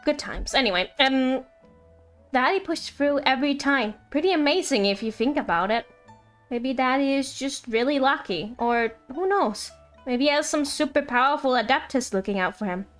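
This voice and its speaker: A woman's voice: sits high, expressive, normal speed, fairly bright tone. A woman streaming a video game, chatting casually with playful asides and reading game text aloud over music.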